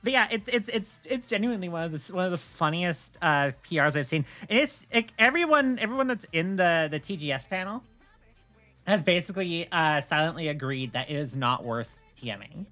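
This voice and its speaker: A woman's voice: lowish voice, animated, a little fast, a bit bright, faint music behind. A woman chatting casually on a livestream, often stammering and repeating words as she explains things. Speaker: streamer and game server admin.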